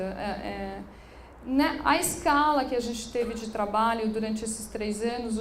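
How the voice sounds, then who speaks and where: A woman from São giving a public talk as a curator, speaking hesitantly with many restarts and fillers. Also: a little high. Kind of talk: public lecture. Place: room in an occupied building. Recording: echoey room, faint background noise.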